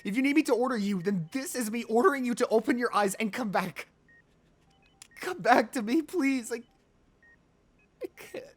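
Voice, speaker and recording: A man's voice: very high-pitched, lively intonation, husky, no echo, heavily acted. Man acting an anguished, devoted ruthless lover. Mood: desperate pleading. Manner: pleading, intimate, halting and emotional. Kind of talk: romantic ASMR roleplay audio drama.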